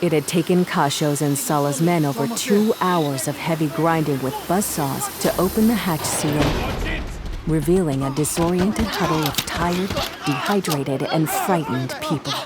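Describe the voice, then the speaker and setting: A woman's voice: low voice, moderate pace, a loud crowd. A woman reading scripted narration for an audio drama.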